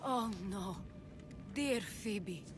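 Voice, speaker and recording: A woman's voice: medium pitch, expressive, extremely slow, music in the background. An actress playing a compassionate, earnest noblewoman of ancient Athens in a dramatic video game. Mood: sorrowful, consoling.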